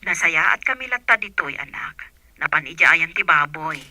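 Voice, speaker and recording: A woman playing a gentle, encouraging rural mother in an audio drama. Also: low-pitched, expressive, normal speed, no room sound, heavily acted. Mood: caring, encouraging.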